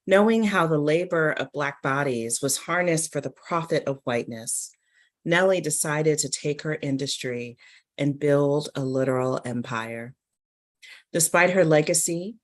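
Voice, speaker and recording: A woman giving a deliberate, measured literary reading of scripted narration and poetry. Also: deep voice.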